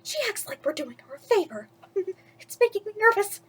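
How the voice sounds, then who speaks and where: A woman's voice: sing-song, moderate pace, a little hiss or hum. A woman voicing a bossy, spiteful young stepsister from a storybook, easily rattled. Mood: nervous, suspicious. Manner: barking, shrill orders.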